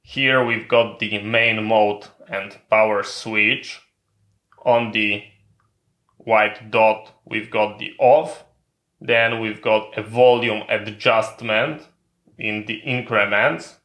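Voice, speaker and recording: A man's voice: lowish voice, steady tone, slow pace, fairly bright tone. A man giving a methodical, step-by-step hands-on equipment tutorial in non-native English phrasing. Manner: methodical, instructional. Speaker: tutorial presenter. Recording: roomy, echoing sound.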